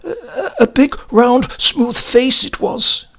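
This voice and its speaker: A man's voice: very high voice, expressive, slow, creaky, fairly bright tone, slightly rough, pitched above the performer's own voice, full character voice. A man voicing a nervous, stammering clerk in his fifties in an audio horror story. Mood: frightened. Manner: stammering, agitated. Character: nervous, twitchy male clerk in his fifties, shaken witness.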